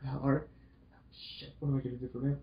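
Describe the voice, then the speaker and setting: A man's voice: medium pitch, flat delivery, very fast. A man chatting casually as a co-reader, muttering asides and swearing now and then. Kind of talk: casual chat. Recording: roomy, dull lo-fi mic.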